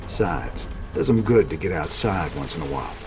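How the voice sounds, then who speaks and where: A gritty, low-voiced rebel commander. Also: male voice, animated, moderate pace, a little mellow. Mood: resolute. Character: weary male rebel commander, tough and loyal. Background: music score.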